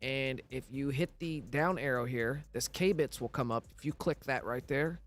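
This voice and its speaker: A man's voice: medium pitch, normal speed, faint music behind. Scratchy-voiced man giving game tutorial. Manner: instructional, walks through steps plainly. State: scratchy voice from recovering illness. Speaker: gaming tutorial narrator. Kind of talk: casual step-by-step game tutorial voice-over.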